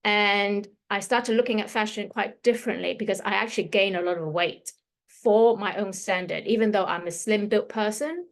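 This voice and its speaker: A woman's voice: mid-range voice, moderate pace. Woman, 50s, Hong Kong-London accent, chatty stylist giving a workshop over a computer mic. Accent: Hong Kong and London English. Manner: chatty, loose, with fillers and restarts. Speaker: fashion stylist leading a workshop. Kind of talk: casual presentation to an audience.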